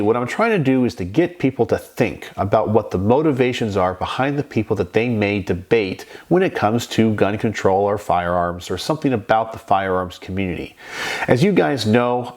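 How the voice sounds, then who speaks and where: Stuffed up raspy guy doing his weekly commentary, easygoing and explainy. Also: fairly low pitch. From Texas. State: stuffed up from a sinus infection. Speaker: channel host. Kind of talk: casual commentary to camera.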